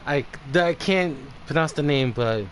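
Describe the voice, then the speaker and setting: A man from New York livestreaming a game, chatting casually in a halting, rambling way. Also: a little high, lively intonation, a little hiss or hum. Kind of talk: casual stream chat, reading game dialogue aloud.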